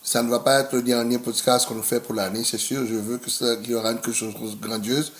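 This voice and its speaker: A man's voice: medium pitch, steady tone, moderate pace. Playful man signing off a podcast. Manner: playful, mixes in English words. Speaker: podcast host. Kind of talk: podcast sign-off.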